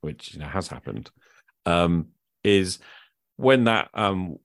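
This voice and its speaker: Very deep-voiced British man, close and dry. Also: sing-song, takes it slowly, gravelly, no room sound. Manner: informal, inquisitive, checks in with the listener. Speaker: podcast conversation partner. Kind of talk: casual chat.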